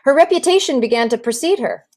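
A woman in her forties, an actor, giving an expressive literary reading on a video call. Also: high-pitched, fast talker. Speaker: actor reading a memoir excerpt. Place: room with folding screen, indoors. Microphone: video call computer microphone.

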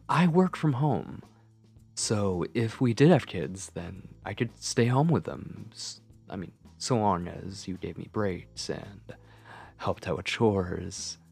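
A man's voice: fairly low pitch, creaky, darkish tone, exaggerated voice. A man playing a shy, obsessive lovestruck man on a date in an ASMR roleplay, speaking in a hesitant, intimate, flustered way.

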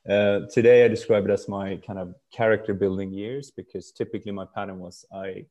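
Low-voiced man, reflective podcast guest. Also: fairly even intonation, fairly quick, dark tone, lo-fi sound. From Stockholm, Sweden. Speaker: podcast guest, brand executive. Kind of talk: casual interview talk.

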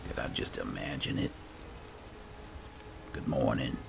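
A man casually narrating roleplay gameplay in character, muttering in a low-key way and trailing off. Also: lively intonation, unhurried, vocal fry, noisy background. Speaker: gamer playing in character.

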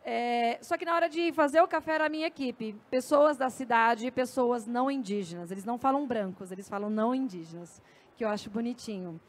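High-voiced Brazilian woman speaking on stage. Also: fairly quick. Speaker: journalist and speaker. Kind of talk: conversational stage talk with anecdotes. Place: stage at an indoor festival. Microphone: handheld microphone.

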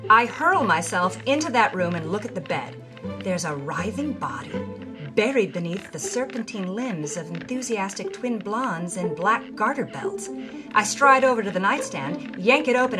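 A woman's voice: mid-range voice, lightly acted. A woman playing a brash, wronged B-movie starlet from the 1950s, telling her memoir in wry, theatrical first-person narration for an audio drama. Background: music.

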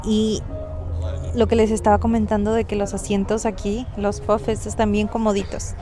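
A woman's voice: mid-range voice, flat delivery, normal speed, music in the background. A woman from Mexico giving a casual, slangy walking tour and chatting informally to her viewers. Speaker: travel vlogger and host. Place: mostly outdoors, walking around an accommodation village.

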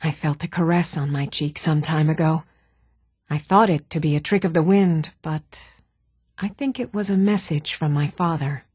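An actress playing an earnest, even-toned woman in a fantasy video game. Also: sits low, moderate pace, slightly rough, no echo, clean studio sound. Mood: solemn, sincere. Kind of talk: video game character dialogue.

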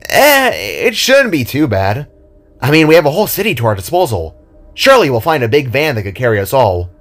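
A big, brainy spark creature from a fantasy story, read by a man. He explains things in a measured, upbeat, practical way. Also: mid-range voice, sing-song, fairly bright tone, faint music behind, voice pushed up. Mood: optimistic. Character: large analytical spark, upbeat team technician. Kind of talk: dramatic story character reading.

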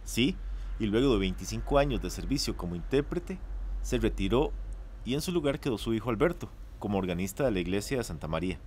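A man's voice: fairly low pitch, lively intonation, brisk, a little mellow, slight background noise, close to an everyday voice. An actor playing a polite young male music student with a Costa Rican accent, explaining things in a radio drama. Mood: polite, informative.

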